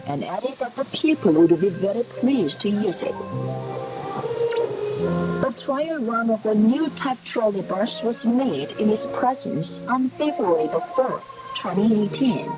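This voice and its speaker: A woman's voice: fairly high pitch, animated, a little slow. A woman radio announcer reading scripted state broadcast narration in a formal, reverent manner over shortwave radio. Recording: narrowband shortwave radio, muffled, music behind. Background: music.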